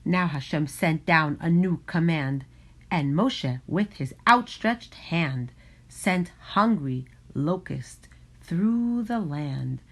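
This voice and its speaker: A woman reads a rhyming storybook aloud for children. Her voice sits a little low and she takes her time, slow and deliberate. The delivery is expressive. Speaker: storybook reader.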